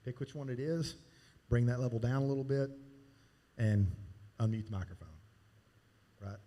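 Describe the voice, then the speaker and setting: A man's voice: medium pitch, normal speed, warm tone. A man giving casual, hands-on training on a church sound board, talking while he demonstrates. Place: church sanctuary. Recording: long hall reverb from live church sound.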